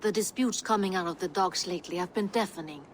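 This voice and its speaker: A woman's voice: fairly low pitch, fast talker, lightly acted. A woman playing a composed, authoritative Viking-age leader in a video game, serious and businesslike. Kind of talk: video game dramatic acting. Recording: clear background ambience noise.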